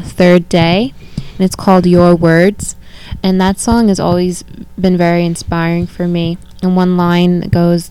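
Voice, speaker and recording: A young woman hosting a faith talk, speaking softly and hesitantly with fillers. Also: lowish voice, smooth, rough recording. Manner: soft-spoken, hesitant with fillers. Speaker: host, daughter of guest.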